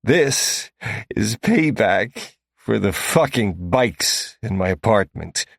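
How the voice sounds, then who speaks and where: Brief: man playing a sneering male captor, husky rasp, dry sound. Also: fairly low pitch, sing-song, takes it slowly, no room sound, exaggerated voice. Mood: taunting. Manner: taunting, barking.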